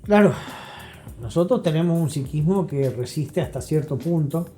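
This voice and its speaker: A middle-aged Argentine man with a mellow, dark voice speaks as an expert guest in an interview. He explains in a deliberate, emphatic way, often checking that he is followed, with music under his voice. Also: on the high side, animated. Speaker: guest expert, psychology professional.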